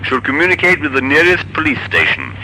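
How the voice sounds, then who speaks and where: A man's voice: fairly high pitch, big pitch swings, brisk, pushed and bright, heavily acted. A man playing an official police announcer, reading a formal, clipped bulletin in 1950s radio style. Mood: official, urgent. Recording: faintly noisy old radio recording.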